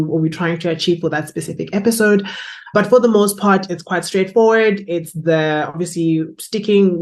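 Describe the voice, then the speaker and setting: A woman with a South African accent talking casually in an interview as a podcast producer and host. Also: lowish voice.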